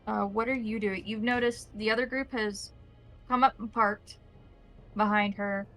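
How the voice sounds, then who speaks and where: A woman's voice: medium pitch, steady tone, moderate pace, a bit bright, soft background music, rough recording. A woman narrating a tabletop roleplay and making rules calls as game master.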